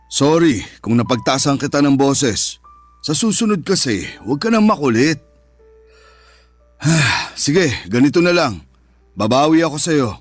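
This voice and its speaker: A stern, possessive boyfriend. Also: male voice, a little high, big pitch swings, unhurried, long pauses, soft background music. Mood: conciliatory. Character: jealous, controlling, moneyed boyfriend and expectant father. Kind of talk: Tagalog radio drama acting.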